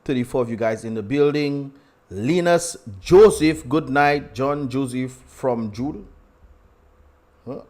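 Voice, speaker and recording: A man's voice: mid-range voice, slow, darkish tone. A young man with a Dominican accent hosting a casual livestream, chatty and laughing often as he greets viewers. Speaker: livestream host.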